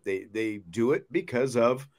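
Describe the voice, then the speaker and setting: A man's voice: mid-range voice, animated, a little slow, darkish tone. Brief: American male sports show host, middle-aged, emphatic commentary with repeated key points.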